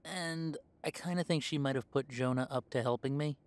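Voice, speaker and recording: A man's voice: mid-range voice, moderate pace, lightly acted. A man playing an easygoing, confident young werewolf in a roleplay audio drama, talking in a chatty, hesitant, conversational way. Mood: reflective, easygoing. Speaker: voice actor.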